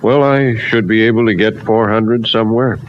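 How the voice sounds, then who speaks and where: An actor playing a calm, determined mentor and business partner, plain-spoken and paternal in an old radio soap. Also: male voice, fairly low pitch, moderate pace, natural voice. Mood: resolute. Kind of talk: old-time radio soap opera acting. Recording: dull, noisy old radio recording.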